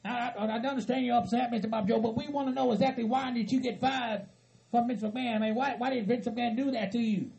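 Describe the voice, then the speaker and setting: Guy doing nervous southern hillbilly peacemaker, real high creaky voice, stumbles over words, keeps soothing. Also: quick pace, slight background noise. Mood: concerned. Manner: stammering, repetitive, pleading for calm. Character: earnest hillbilly kinsman, stammering, placating peacemaker.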